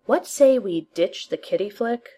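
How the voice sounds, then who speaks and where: A woman's voice: animated, a little slow, dark tone. A woman voicing a cocky, teasing male inventor in a fan fiction reading, murmuring and breezy by turns. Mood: seductive whisper. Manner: low murmured whisper, then breezy and cheerful.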